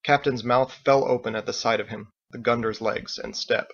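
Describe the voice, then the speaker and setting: A man's voice: mid-range voice, steady tone, moderate pace, gravelly, slightly rough, muffled recording. A man reading his own story as a scripted audiobook narration.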